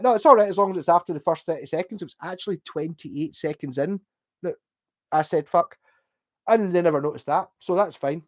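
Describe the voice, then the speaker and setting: Glaswegian male streamer, loose rambling chat, casual swearing, answers viewer comments. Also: high-pitched. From Glasgow, Scotland. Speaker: livestream host.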